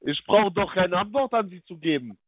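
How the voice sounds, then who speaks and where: A man arguing on a phone call, halting and repeating his demands over and over. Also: high-pitched, lively intonation, no room sound. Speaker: phone scammer being baited. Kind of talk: heated phone argument. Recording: tinny phone line.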